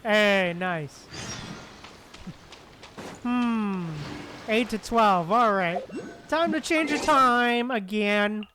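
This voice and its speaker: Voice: medium pitch, very slow, pauses often, pushed and bright, slight background noise. A streamer giving casual gameplay commentary, muttering to themself and repeating phrases. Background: game music.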